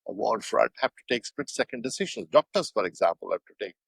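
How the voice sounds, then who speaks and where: Older Indian-accented man, lowish voice, dry close sound, reflective guest. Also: animated, gravelly, breathy edge, dry recording. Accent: Indian English. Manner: measured, thoughtful, frequent fillers and restarts. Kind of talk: reflective interview answers.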